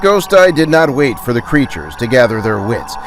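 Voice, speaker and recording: Guy reading a fantasy adventure, really dramatic and suspenseful, pitch moves a lot, music behind. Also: big pitch swings, moderate pace. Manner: theatrical, suspenseful. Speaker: narrator. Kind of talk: dramatic scripted storytelling. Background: background music.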